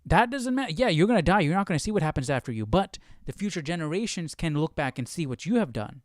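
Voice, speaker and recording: A man hosting a live Bible study in a casual, questioning way, thinking out loud as he reads and comments. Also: on the high side, big pitch swings, fast, dry recording, studio quality.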